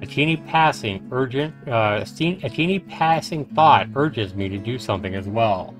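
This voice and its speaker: A man's voice: mid-range voice, expressive, normal speed, faint music behind. A man livestreaming a game, reading the narration aloud and adding his own commentary. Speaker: streamer and host.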